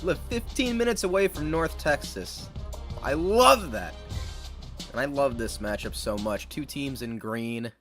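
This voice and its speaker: A man's voice: mid-range voice, animated, measured pace, a bit bright. A young American man chatting casually with his live-stream audience while calling plays in a football video game. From United States. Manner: chatty, upbeat, greets chat and calls plays. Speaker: gaming streamer. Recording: game music playing behind voice. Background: video game music.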